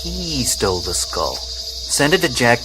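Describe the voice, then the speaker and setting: An actor playing a blunt, skeptical companion in a radio drama, with a sarcastic edge. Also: male voice, mid-range voice, sing-song, slow pace, loud music behind the voice. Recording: jungle ambience with insects and birds.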